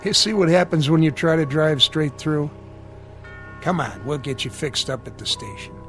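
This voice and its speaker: An actor playing an easygoing, helpful small-town male mechanic in a radio drama, with a folksy, casual, unhurried way of talking. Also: on the high side, fairly bright tone, soft background music, lightly acted.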